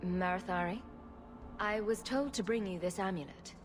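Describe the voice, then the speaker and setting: A woman performing a self-assured young heroine for a fantasy game. Her voice is mid-pitched and wry, with faint music in the background. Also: normal speed, natural voice. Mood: wry, direct. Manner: wry, questioning. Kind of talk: video game voice acting.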